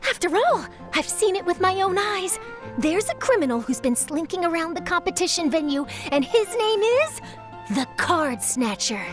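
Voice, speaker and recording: A woman's voice: extremely high pitch, big pitch swings, moderate pace. A woman voicing a nosy, eager young news reporter in a video game, perky and inquisitive. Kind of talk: game dialogue. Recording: game voice with clear music behind.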